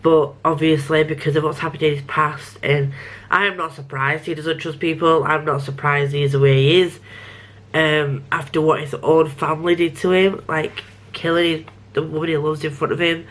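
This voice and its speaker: A woman's voice: sits low, little pitch movement, normal speed. A young woman rambling casually about a book she's reading, a bit sniffly, often restarting her sentences. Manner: chatty, self-interrupting, frequent restarts. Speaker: book vlogger. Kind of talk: rambling casual vlog chat.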